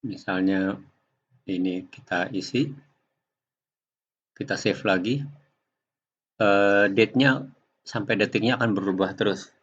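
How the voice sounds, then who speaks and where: A man giving an unscripted software tutorial, explaining step by step in a halting way. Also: fairly low pitch, breathy edge. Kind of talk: unscripted screen-recording tutorial. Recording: dull lo-fi home recording.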